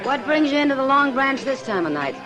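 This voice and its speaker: A woman's voice: high-pitched, very animated, a little fast, highs cut off. Worldly saloon lady, American, direct, knowing, vintage radio western, music in back. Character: worldly, frank saloon woman, familiar with the marshal. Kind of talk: old-time radio western acting. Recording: old radio drama with music behind.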